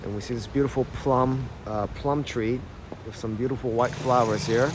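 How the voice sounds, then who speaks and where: A man's voice: medium pitch, fairly even intonation, normal speed, noisy background. A man in his thirties with an American accent, casually guiding a live-streamed walking tour outdoors, with lots of fillers. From Ohio, United States. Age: 30s. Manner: casual, chatty, many fillers. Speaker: tour guide. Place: outdoors on an old city street. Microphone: phone camera held while walking.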